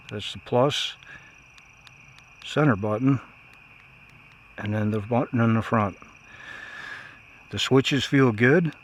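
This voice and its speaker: A man's voice: medium pitch, fairly bright tone, a little hiss or hum. A man giving a casual, unscripted product review in a plainspoken, folksy way.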